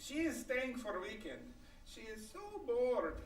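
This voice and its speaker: A woman's voice: on the high side, lively intonation, a little slow. A woman playing an effusive old immigrant grandmother with an Eastern European accent and broken English in a live radio comedy. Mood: concerned. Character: chatty old immigrant grandmother neighbor bringing food. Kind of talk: old-time radio comedy acting before a live audience. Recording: roomy live stage, distant mic, faint noise.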